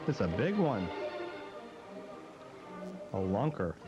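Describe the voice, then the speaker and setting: A man's voice: lowish voice, very animated, slow. A man narrating a children's television film in simple, plain sentences, on an old TV soundtrack. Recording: dull old TV soundtrack under music.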